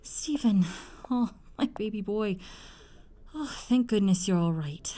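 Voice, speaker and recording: A woman's voice: mid-range voice, expressive, unhurried, mellow, natural voice. A woman voice-acting a middle-aged, fiercely protective, doting mother in an audio roleplay, effusive and fussing in manner. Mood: fussing, worried.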